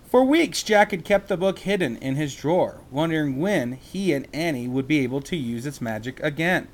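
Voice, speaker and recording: A man who teaches reads a children's chapter book aloud in a slow, expressive way, with small slips. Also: a little high.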